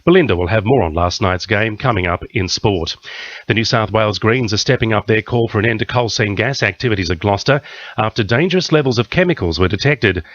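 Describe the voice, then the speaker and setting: A man's voice: on the low side, lively intonation, brisk, fairly bright tone, no room sound, lo-fi sound. A man with an Australian accent reading a scripted radio news bulletin. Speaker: news reader.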